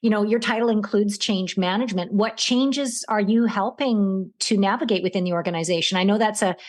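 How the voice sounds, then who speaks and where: A woman hosting a podcast interview with enthusiastic, energetic delivery. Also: medium pitch, fairly quick. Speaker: podcast host.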